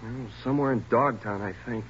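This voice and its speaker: An actor playing a frightened twenty-year-old burglary suspect in a 1940s radio drama, pleading, evasive and stammering. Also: male voice, mid-range voice, fairly quick, lightly acted. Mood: nervous confessing. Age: young adult. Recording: noisy, muffled 1940s radio recording.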